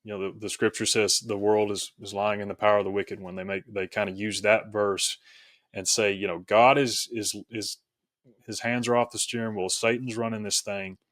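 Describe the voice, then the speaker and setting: Low-voiced man explaining, recorded dry. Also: flat delivery, normal speed, fairly bright tone, no echo. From rural Tennessee, United States. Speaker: podcast guest, author. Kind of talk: reflective conversational interview.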